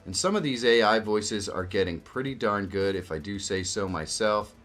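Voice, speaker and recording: Guy with a lowish voice walking through some software, casual, music behind him. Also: normal speed. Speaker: video tutorial host. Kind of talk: casual screen-share software walkthrough. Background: background music.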